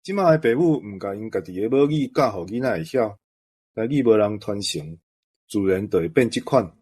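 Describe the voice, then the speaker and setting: A man's voice: lowish voice, lively intonation, brisk, a little mellow, slightly rough. A man with a Taiwanese accent talking conversationally as a co-host. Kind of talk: conversational discussion.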